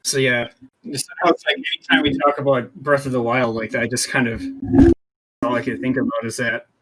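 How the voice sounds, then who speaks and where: Mid-pitched man reminiscing in casual chat. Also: steady tone, moderate pace, fairly bright tone, low-quality recording. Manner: informal, says "like" constantly. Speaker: podcast co-host.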